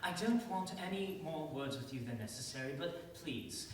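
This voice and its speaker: A woman's voice: sits low, expressive, normal speed. A woman playing a stern, foreboding, protective housekeeper in a staged radio play, ominous and insistent, recorded echoey and distant with some noise.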